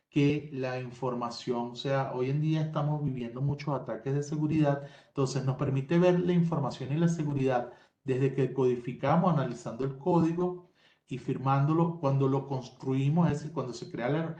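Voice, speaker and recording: A man's voice: medium pitch, warm tone. Venezuelan man explaining over a video call. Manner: explanatory, technical, self-correcting. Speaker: tech presenter. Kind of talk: webinar presentation. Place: home office in Colombia. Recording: dull, roomy webinar audio.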